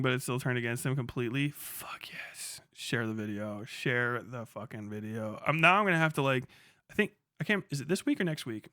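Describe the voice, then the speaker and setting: A man's voice: on the high side, pushed and bright, dry recording, clean studio sound. A young man casually chatting on a livestream, reading chat aloud, swearing freely and laughing often as he rambles.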